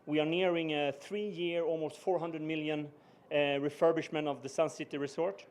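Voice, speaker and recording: A middle-aged man with a Swedish accent giving a measured, formal speech as a business executive, pausing often on "uh". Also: on the high side, fairly even intonation, darkish tone. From Sweden. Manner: measured, with frequent "uh" pauses. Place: ceremony venue.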